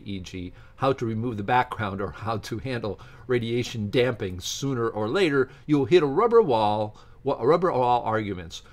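A middle-aged man reads aloud haltingly from a book and breaks off to give his opinionated, informal commentary as a channel host. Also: medium pitch. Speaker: YouTube science channel host. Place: small home office with bookshelves, indoors.

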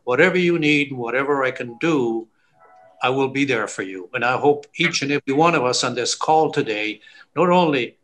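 Older man rallying support, bright voice. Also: mid-range voice, poor audio. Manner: emphatic, rallying. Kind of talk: speech of endorsement on a video call. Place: home living room, indoors. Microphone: video call webcam.

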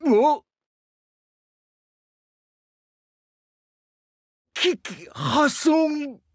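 A man playing a gentle, bashful crocodile diving instructor in a Japanese game, earnest and hesitant, easily flustered. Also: very high voice, very animated, drawn-out words, no room sound, studio quality, exaggerated voice. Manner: soft-spoken, hesitant, earnest, stammers when flustered. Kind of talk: Japanese game character voice lines.

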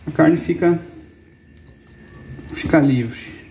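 A man in his forties, from Brazil, hosting a fishing channel and calmly explaining how to fillet a fish, step by step, in an indoor kitchen. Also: on the high side, extremely slow, vocal fry, slight background noise. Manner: calm step-by-step explaining, pointing things out. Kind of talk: cooking demonstration. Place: indoor kitchen with brick and wood walls.

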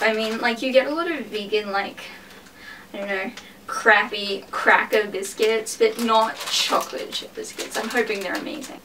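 A woman's voice: medium pitch, far from the mic, background noise. A woman in her twenties chatting casually in a vlog from her bedroom, with lots of "like"s and offhand swearing. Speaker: vlogger. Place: small bedroom indoors.

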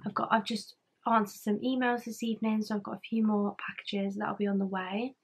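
Chatty young woman, gravelly and husky. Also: medium pitch, level tone, brisk. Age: young adult. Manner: rambling, chatty, with many ums. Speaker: vlogger. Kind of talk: casual vlog chat to camera. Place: home living room and bedroom, indoors. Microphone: camera at arm's length.

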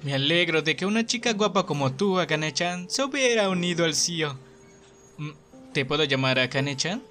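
Man as bitter, cheeky young male anime enforcer, Latin American Spanish accent, highish voice, expressive, faint music behind. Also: normal speed. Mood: playful, curious. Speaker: amateur fandub voice actor. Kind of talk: anime fan dub acting.

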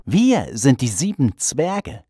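Woman doing a slow, low dwarf chief. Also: big pitch swings, mellow, husky, clean studio sound, exaggerated voice. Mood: friendly, explaining. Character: slow, bossy but kindly male dwarf chief. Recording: long reverb, music behind.

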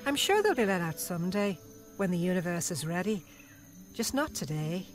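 A woman playing a calm, firm alien prison librarian in an amateur audio drama. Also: fairly low pitch, moderate pace. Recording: reverberant hall with music.

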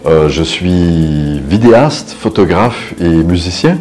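A middle-aged man talking about himself in an interview, hesitating and pausing between short phrases. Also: low-pitched, lively intonation, a little mellow. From Canada. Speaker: videographer, photographer and musician being interviewed. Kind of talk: interview self-portrait. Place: indoor photo studio. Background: background music.

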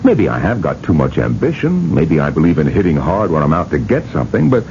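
Cynical ruthless businessman, low gravelly voice, defensive, old radio play with hiss. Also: very animated, fairly quick, a little mellow, exaggerated voice. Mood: glib, persuasive. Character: cunning, ruthless male industrialist, cynical and defensive. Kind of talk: 1980s radio drama acting. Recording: dull, noisy old radio recording.

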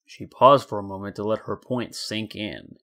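A man's voice: fairly low pitch, steady tone, normal speed, sharp tone. A man narrating a scripted story in a dramatic reading style. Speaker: narrator and fan fiction reader.

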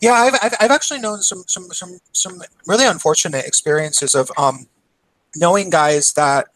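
A man's voice: high voice, moderate pace, fairly bright tone, no room sound, low-quality recording. A man in his thirties hosting a podcast, chatting casually and laughing often over a call. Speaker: podcast host. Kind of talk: casual chat.

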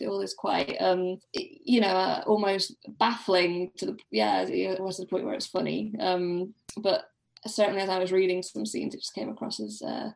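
A woman's voice: mid-range voice, vocal fry, low-quality recording. A woman guest on a podcast, discussing literature casually in a hesitant, reflective way with many hedges.

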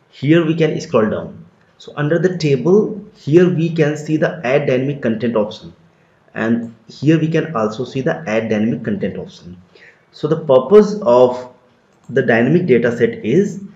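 A man giving a steady step-by-step screen-recorded software tutorial, repeating phrases as he explains. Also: medium pitch. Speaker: tutorial instructor. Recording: dull lo-fi roomy sound.

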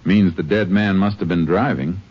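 An actor playing a steady, dogged Texas Ranger, terse and matter-of-fact, in 1950s radio drama style. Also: male voice, sits low, moderate pace, husky. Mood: thoughtful. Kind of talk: 1950s radio drama acting and first-person narration. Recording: muffled, noisy old radio recording.

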